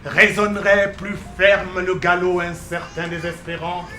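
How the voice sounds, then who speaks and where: A man's voice: high voice, level tone, unhurried, pushed and bright, a little hiss or hum. A man giving a theatrical poetry recital in a solemn, dramatic, declamatory manner. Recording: roomy echo, faint music behind.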